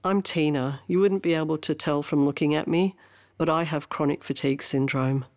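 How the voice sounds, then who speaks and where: A woman's voice: deep voice, fairly even intonation, normal speed, darkish tone, dry recording, clean studio sound. A woman reading scripted narration in a plain, instructive manner.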